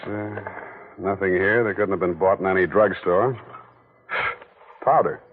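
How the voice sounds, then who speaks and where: An actor playing a wisecracking, laconic private detective, in 1940s radio acting. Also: male voice, deep voice, steady tone, a little slow, husky. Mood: wry. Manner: dry, laconic, teasing. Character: wisecracking hard-boiled male private detective. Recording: tinny old radio broadcast.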